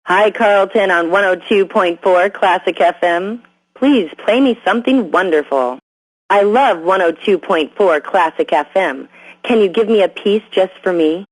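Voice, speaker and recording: A woman's voice: medium pitch, a little slow, dry recording, close to an everyday voice, low-quality recording. An actress playing an adoring female fan who calls a classical radio station, sweetly coaxing for a request. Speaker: radio caller.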